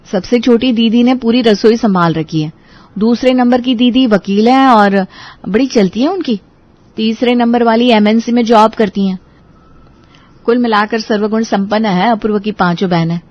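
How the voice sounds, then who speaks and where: A woman's voice: a little high, moderate pace, highs cut off, close to an everyday voice. A woman playing an anxious, earnest young bride-to-be in a Hindi audio story drama. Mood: worried, defensive.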